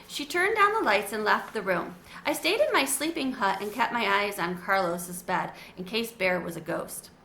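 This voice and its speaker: Bright-voiced woman reading a storybook. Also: on the high side. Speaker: children's book reader. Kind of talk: reading a children's book aloud. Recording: echoey room, faint hiss.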